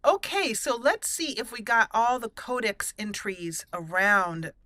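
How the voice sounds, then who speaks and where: A woman's voice: fairly high pitch, sing-song, measured pace, bright, forceful tone, dry recording. A young woman casually commenting on her game as she plays, chatty and giggly, rambling with fillers. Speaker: let's play host.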